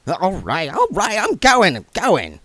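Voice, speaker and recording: A man's voice: sits high, huge pitch swings, measured pace, fairly bright tone, no echo, voice pushed up, full character voice. A man doing a grumbling, stingy dwarf. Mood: grudging. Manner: muttering, grudging.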